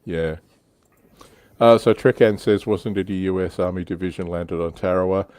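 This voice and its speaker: A man with a very low voice hosts a relaxed hobby livestream. He sounds close and dry, and talks in a chatty, joking, informal way, pausing to read comments from viewers. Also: no room sound. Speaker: livestream host. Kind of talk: casual hobby discussion, reading out viewer comments.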